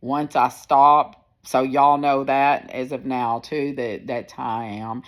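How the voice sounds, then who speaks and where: A woman in her sixties with a deep, firm voice, talking candidly and rambling a little as she speaks to her viewers into a phone. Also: level tone, slow. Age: 60s. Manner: candid, rambling, plain-spoken. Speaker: personal vlogger. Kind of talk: casual personal storytelling to viewers.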